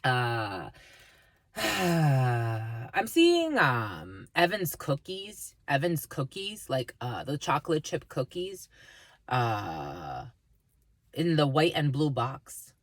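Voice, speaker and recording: A woman whose first language is Spanish, giving a halting intuitive spiritual reading to viewers, with many fillers and repeated phrases. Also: extremely low pitch, huge pitch swings, dry recording. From Dominican family, Spanish first language. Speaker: spiritual reader.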